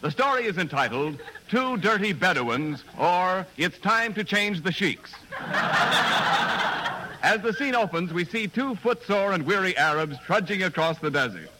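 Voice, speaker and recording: A man's voice: sits high, expressive, a little slow, noisy background. A man announcing in a formal, mock-grand, theatrical 1940s radio style. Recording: vintage 1940s radio broadcast.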